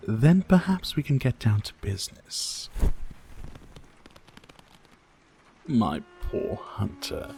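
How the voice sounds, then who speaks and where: A man's voice: medium pitch, vocal fry, mellow. A man playing a sadistic, courtly vampire lord in an ASMR roleplay, speaking in a silky, formal, teasing and theatrical manner. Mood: mock polite. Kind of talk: ASMR roleplay, dramatic monologue to listener.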